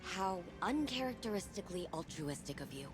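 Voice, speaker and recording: A woman's voice: fairly low pitch, big pitch swings, brisk. A woman playing a determined, wry and blunt tech scientist in a video game. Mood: dry, resolute. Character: dry, determined female tech scientist and granddaughter. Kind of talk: scripted video game acting. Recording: loud music under the voice.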